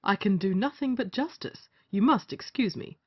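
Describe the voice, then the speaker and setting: A woman's voice: medium pitch, lively intonation, moderate pace, husky. A woman playing a bossy, scheming male justice of the peace in an old stage comedy, theatrical and commanding. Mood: stern, scheming. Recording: muffled lo-fi audio.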